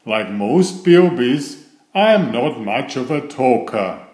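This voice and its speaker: Man, children's story reader, slow pace, lively pitch swings, deliberate delivery. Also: roomy sound. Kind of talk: slow, expressive picture-book read-aloud.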